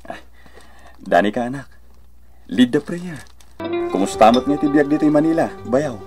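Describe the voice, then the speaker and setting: A man's voice: mid-range voice, lively intonation, moderate pace, over music, close to an everyday voice. An actor playing an easygoing, genial family man from the province in an Ilocano radio drama.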